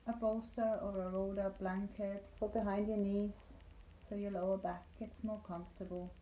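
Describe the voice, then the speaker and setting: A woman leading a guided meditation as a yoga nidra instructor, speaking calmly and soothingly with deliberate pauses. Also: medium pitch. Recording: roomy echo, light background noise.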